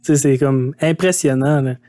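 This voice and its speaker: A man's voice: mid-range voice, moderate pace, mellow, no echo, studio quality. A man with a Québécois accent hosting a podcast, talking casually and enthusiastically with many filler words. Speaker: podcast host. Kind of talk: podcast interview.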